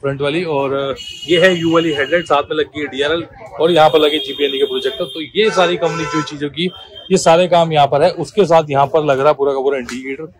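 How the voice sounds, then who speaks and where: A high-pitched male voice moves quickly from part to part, selling car accessories in a shop. He talks like a pushy salesman, keeps calling the viewer brother, and quotes sale prices. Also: poor audio. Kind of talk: sales pitch walkthrough video.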